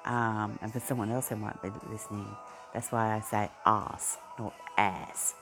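A man with a broad Australian accent rambles through a casual vlog chat and keeps losing his thread, filling gaps with ums. His voice has a gravelly, creaky edge and an unhurried pace. A song plays clearly behind him. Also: fairly low pitch, fairly even intonation, over music. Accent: Australian, broadened by life in Queensland. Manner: meandering, easily sidetracked, many ums and restarts. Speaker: vlogger. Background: a pop song playing.

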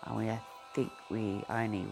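A man's voice: on the low side, little pitch movement, moderate pace, creaky, music in the background. A man with a broad Australian accent chatting casually in a vlog, rambling and getting sidetracked, with many ums and restarts. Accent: Australian, broadened by life in Queensland. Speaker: vlogger. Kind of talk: rambling personal chat. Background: a pop song playing.

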